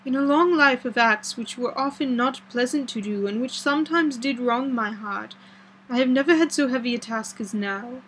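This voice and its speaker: A resolute, earnest old doctor from a gothic audiobook as a woman narrator plays him. The phrasing is formal and a little broken, solemn and imploring. The voice sits fairly high over faint noise. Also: normal speed, a bit bright, natural voice, low-quality recording. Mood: pleading, sorrowful.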